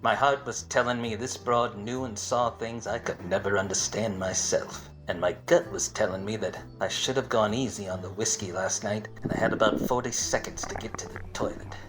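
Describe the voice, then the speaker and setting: A man's voice: lowish voice, steady tone, normal speed, over music, close to an everyday voice, low-quality recording. Man playing a wisecracking hard-boiled private eye. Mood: brooding narration. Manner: wry, world-weary, wordy wisecracks. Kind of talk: 1940s detective radio play parody.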